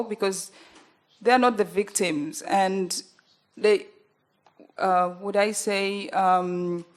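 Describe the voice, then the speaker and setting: Earnest Ugandan woman, halting speech. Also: medium pitch. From northern Uganda. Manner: earnest, halting, many fillers. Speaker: journalist panelist. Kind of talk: panel talk.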